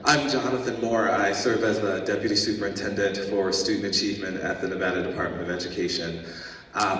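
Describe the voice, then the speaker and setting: Low-voiced official speaking in a hall. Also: male voice, a bit bright, a little hiss or hum. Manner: earnest, many ums and uhs. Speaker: education official giving remarks. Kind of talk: ceremony speech. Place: large indoor hall. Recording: echoey hall from far off.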